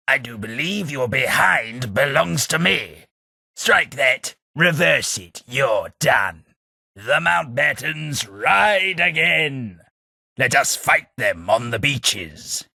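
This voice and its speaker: A man voicing a posh, sardonic British gentleman companion in a game, with a British accent. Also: medium pitch, sing-song, slow pace, creaky, no echo, heavily acted. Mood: combative. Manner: dry, wry, posh and sardonic. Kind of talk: game voice lines.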